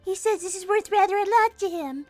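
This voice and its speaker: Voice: very high-pitched, brisk, loud music behind the voice. A woman voicing a prim, polite little girl rabbit who speaks in a proper, formal way and loves gossip. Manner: very proper, formal and dainty speech.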